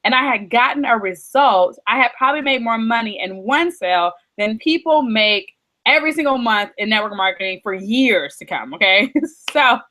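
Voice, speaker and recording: Hoarse, animated woman telling her story. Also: fairly high pitch, moderate pace. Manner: animated storytelling, acting out her own reactions. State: losing her voice. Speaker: interview guest, online seller. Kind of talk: casual storytelling interview.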